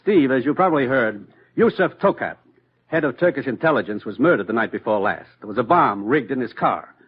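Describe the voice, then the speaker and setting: A brisk commissioner in a vintage radio drama. His husky voice moves widely in pitch as he gives orders with dry authority, on a dull old recording. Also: lively intonation. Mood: urgent, grave. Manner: crisp, authoritative, dryly teasing. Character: brisk, authoritative male government commissioner, dryly amused boss. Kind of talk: 1950s radio drama acting.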